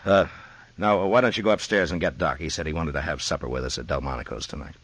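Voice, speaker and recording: Deep, stoic Old West marshal. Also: male voice, fast talker, slight background noise. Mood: grave, resolved. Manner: terse, deadpan, firm. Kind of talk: old-time western radio drama acting.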